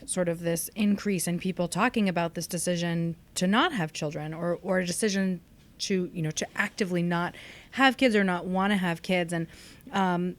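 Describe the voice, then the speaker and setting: A woman's voice: lowish voice, normal speed. A woman hosting a conversational podcast, reflective and exploratory as she puts questions to others. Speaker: podcast host.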